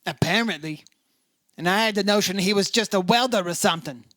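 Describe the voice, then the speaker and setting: A man playing a brisk, hard-boiled insurance investigator in an old-time radio detective drama, terse and matter-of-fact. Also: high-pitched, a bit bright, dry recording, clean studio sound. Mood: probing.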